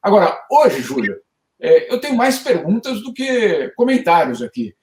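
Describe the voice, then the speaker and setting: A man co-hosts over a video call, with a high voice for a man and a hollow, echoey room around him. He speaks haltingly, filling his gaps with drawn-out sounds while he lines up his questions. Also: big pitch swings, darkish tone, a little hiss or hum. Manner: hesitant, full of filler sounds, curious questioner. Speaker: co-host columnist. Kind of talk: casual panel discussion.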